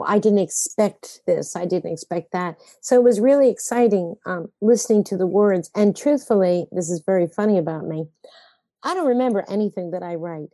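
A woman's voice: mid-range voice, warm tone, no echo. An older woman playwright talking in an interview, reflective and rambling, correcting herself mid-sentence with a wry sense of humor. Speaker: playwright guest. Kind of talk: conversational interview.

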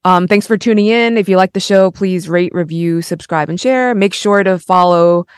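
A woman's voice: fairly low pitch, normal speed, smooth, no echo. A woman hosting an interview in a casual, chatty style. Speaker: podcast interview host.

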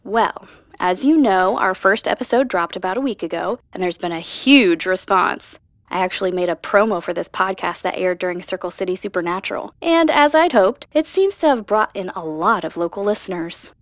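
A woman's voice: mid-range voice, moderate pace, dry recording, clean studio sound, close to an everyday voice. A woman playing an upbeat, persistent young podcast host, chatty and optimistic, in an audio drama.

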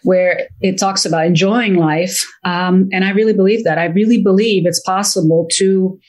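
Enthusiastic woman in her fifties. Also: mid-range voice. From Italy. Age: 50s. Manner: enthusiastic, quick, repeats words when excited. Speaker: guest wealth advisor. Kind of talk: casual interview chat.

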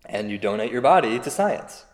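A man's voice: medium pitch, sing-song, normal speed. A man playing a self-deprecating stand-up comedian, conversational and wry as he delivers a routine. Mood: dry, dark. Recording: echoey venue reverb, faint music.

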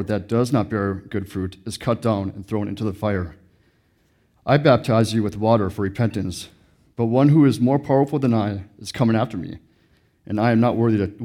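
Man reading bible out loud, dark mellow voice, a little on the low side. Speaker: scripture reader.

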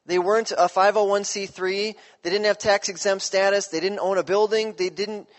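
Male pastor, high-pitched, chatty preaching style, echoing sanctuary. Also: steady tone, moderate pace. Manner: conversational, checks in with listeners. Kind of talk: sermon.

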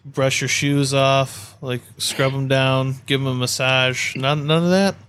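A man's voice: mid-range voice, little pitch movement, measured pace, fairly bright tone, slight background noise. A man co-hosting a podcast with casual, joking banter and conversational questions. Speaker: podcast co-host.

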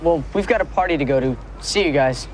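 A man's voice: fairly high pitch, fast, lightly acted. A young actor playing a cool, dismissive teenage boy in a TV sitcom, with noise behind him. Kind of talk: sitcom acting. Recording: noisy TV sitcom set.

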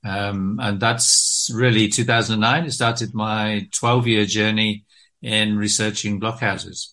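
British man, bright, hesitant interview talk. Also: fairly low pitch, steady tone, slow. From Britain. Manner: hesitant, many ums and restarts. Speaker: guest author.

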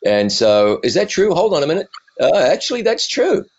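A middle-aged man with a New Zealand accent softened by years in the US, telling his story in an animated, joking way. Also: fairly high pitch, fairly quick, vocal fry, lo-fi sound. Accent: New Zealand, softened by decades in the US. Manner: animated, self-deprecating, jokey. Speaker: evangelist and TV presenter. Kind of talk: storytelling interview answers.

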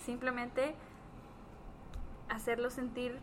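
A woman in a casual discussion, explaining earnestly as a co-host and pausing between phrases. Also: a little high, little pitch movement, a little hiss or hum. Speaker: therapist, podcast co-host.

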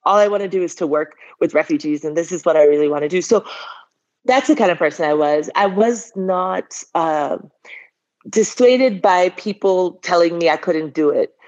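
A woman in her forties speaks as a guest in an interview, lower-pitched for her sex. She tells her story in a lively, candid way and laughs often. The audio is dull and lo-fi. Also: moderate pace. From Liberia. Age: 40s.

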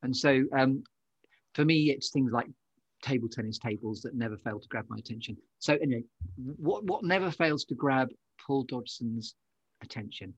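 A man's voice: mid-range voice, normal speed, husky, dry recording. A man hosting a podcast in casual interview chat, on slightly dull, lo-fi audio. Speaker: podcast host.

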